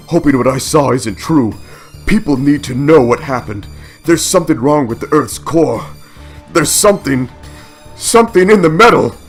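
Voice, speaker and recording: A man's voice: mid-range voice, voice pushed up, natural voice. A man voicing a panicked male researcher in a dramatic comic-book reading. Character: panicked male research scientist recording his last message.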